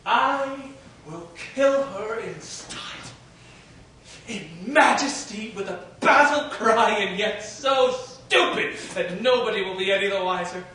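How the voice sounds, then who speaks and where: An actor playing a domineering, cruel old king, with a theatrical delivery that swings between booming shouts and low, menacing mutters. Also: slow pace, heavily acted. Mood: scheming. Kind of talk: stage drama acting. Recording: echoey, distant stage recording with faint noise.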